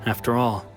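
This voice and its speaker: An actor playing a wistful, humbled man back from his travels, reflective and hesitant, in an anime game. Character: wistful, humbled male traveler returned home.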